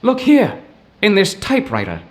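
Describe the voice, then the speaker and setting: Radio-drama role: pompous, nervous academic, theatrical diction, highly animated pitch, loud storm noise behind. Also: male voice, normal speed. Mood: alarmed, indignant. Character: fussy, cowardly male professor, bookish and easily alarmed. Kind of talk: old-time radio adventure drama acting.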